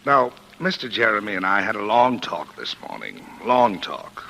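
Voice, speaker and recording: A brisk, paternal defense attorney in a 1940s radio drama. The man's low voice is forceful and lively, weary yet insistent. Faint noise marks the old recording. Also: vocal fry, exaggerated voice, poor audio. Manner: authoritative, repeats himself, talks over objections.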